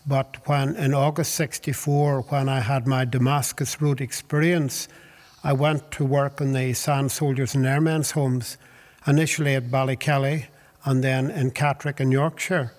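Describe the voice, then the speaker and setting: An older man with a Northern Irish accent, telling his life story in an interview in a measured, hesitant way. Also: medium pitch, little pitch movement, darkish tone, big-room sound. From Northern Ireland. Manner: measured, hesitant with fillers and restarts. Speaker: interviewee, Baptist minister. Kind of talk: reflective storytelling.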